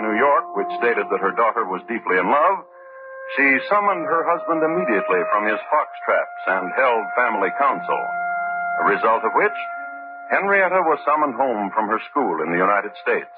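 An actor playing a wry, urbane crime-story narrator, reading scripted old-time radio narration with dry, deadpan irony. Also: male voice, lowish voice, normal speed. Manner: deadpan, dryly ironic. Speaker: narrator and host. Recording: muffled old radio recording, music.

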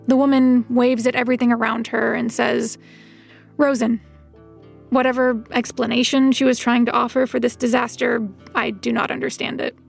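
An actress playing a reserved, watchful young woman, narrating in the first person in a measured, deadpan way for an audio drama. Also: fairly high pitch, gravelly. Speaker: narrator, detective's new assistant.

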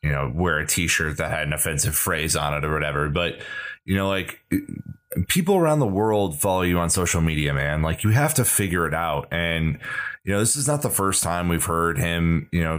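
A man hosting a football fan podcast, talking in an emphatic, opinionated, conversational way. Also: low voice. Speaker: podcast host. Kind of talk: casual fan podcast chat.

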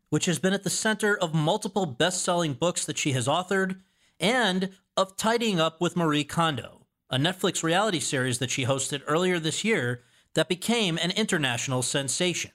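A man's voice: on the high side, a bit bright. A man hosting an interview podcast, recorded in an office. He moves from a scripted introduction into easy, conversational questions. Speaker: podcast host.

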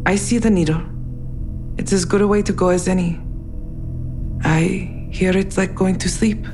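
An actress playing a resigned, guilt-ridden woman who calmly accepts her fate, in an audio drama. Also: fairly low pitch, normal speed, lightly acted. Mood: calm resignation. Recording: music clearly underneath.

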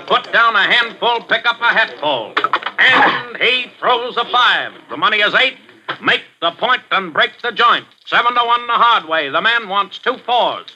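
An actor playing a fast-talking male craps game dealer who barks rhyming patter in a 1940s radio drama. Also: very high-pitched, noisy background. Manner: rhyming patter, barking calls. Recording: old radio broadcast.

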